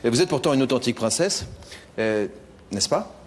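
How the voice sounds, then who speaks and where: A man's voice: medium pitch, lively intonation, moderate pace, long reverb tail, slight background noise. A man hosting a talk show, jovial and teasing with his guests. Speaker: host.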